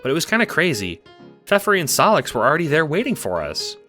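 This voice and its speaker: A man playing an excitable, affectionate young cat-like alien troll girl, rambling playfully as narrator of a fan audio drama. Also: fairly high pitch, fast talker, full character voice. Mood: cheerful reminiscing. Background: music.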